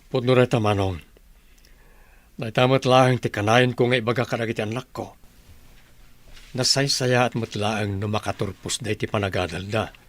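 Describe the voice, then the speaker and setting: A man's voice: fairly low pitch, fairly even intonation, normal speed, long pauses, no echo, lightly acted. A man playing a respectful, reassuring middle-aged father and younger brother in a radio drama. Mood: earnest, reassuring.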